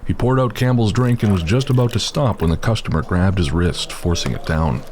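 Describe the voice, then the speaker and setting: A man's voice: deep voice, brisk, darkish tone. A man narrating a scripted dramatic story, with music behind him.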